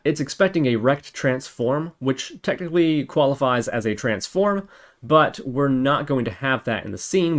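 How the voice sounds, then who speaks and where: A male tutorial host with a medium-pitched voice walks through code step by step. He is methodical and thinks aloud as he goes, with keyboard typing behind him. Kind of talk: screen-recorded coding tutorial, explaining step by step.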